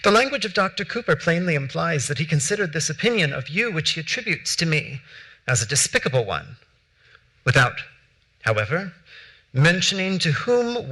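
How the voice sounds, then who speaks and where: Brief: a proud founder; emphatic, measured, bright and forceful. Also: male voice, a little high, exaggerated voice, poor audio. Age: young adult. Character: proud, articulate young founding statesman, man. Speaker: stage actor reading letters. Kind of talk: dramatic period letter reading. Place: large theater stage. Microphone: headset mic.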